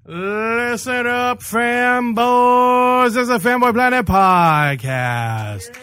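A man's voice: very high voice, animated, extremely slow, sharp tone, smooth, dry recording. A man co-hosting a podcast with jokey banter and a cheeky, irreverent manner. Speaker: podcast co-host and announcer.

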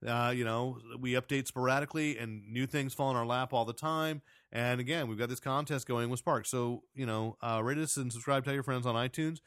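A man hosting a casual podcast, chatty and rambling, with a loud voice. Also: mid-range voice, steady tone, a little fast, few pauses, dry recording, clean studio sound. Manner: rambling, self-correcting, chatty plugs. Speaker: podcast host and editor.

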